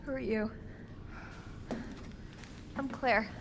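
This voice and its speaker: Horror game heroine: young American, high voice, protective and determined, in a reverberant hall. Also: female voice, steady tone, drawn-out words, noisy background. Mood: worried, insistent. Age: young adult. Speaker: video game protagonist. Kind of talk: dramatic game cutscene acting. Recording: echoey reverberant space, faint game ambience.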